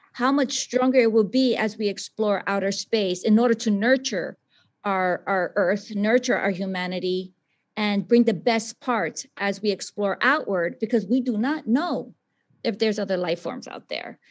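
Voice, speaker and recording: A woman's voice: medium pitch, unhurried. A woman from the South Bronx speaking as a scientist and teacher on a webinar panel, passionate and emphatic in her answer. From South Bronx, New York.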